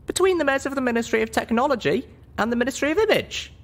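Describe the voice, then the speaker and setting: A man's voice: very high-pitched, lively intonation, fast, pitched above the performer's own voice. A man voicing a small, stubborn young female pony in an earnest, emphatic storybook reading. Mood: probing.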